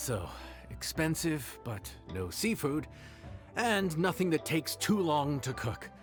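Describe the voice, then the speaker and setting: An actor playing a proud, grumbling inn chef in a fantasy video game, weary and exasperated. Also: male voice, on the high side, big pitch swings, slow. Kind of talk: English video game voice acting. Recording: music behind the voice.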